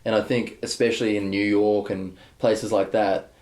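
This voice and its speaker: A young adult man, a bass player talking candidly in a casual interview, rambling with many fillers and restarts. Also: level tone, moderate pace, a little mellow. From Australia. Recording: echoey room.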